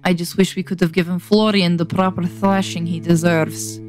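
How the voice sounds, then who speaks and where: A woman's voice: lowish voice, steady tone, moderate pace, a little mellow, lightly acted. A woman playing a brash, cocky young boat-builder and rebel in a fantasy drama. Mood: teasing, persuading. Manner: brash, teasing. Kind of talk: dramatic fantasy fan-dub acting. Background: music.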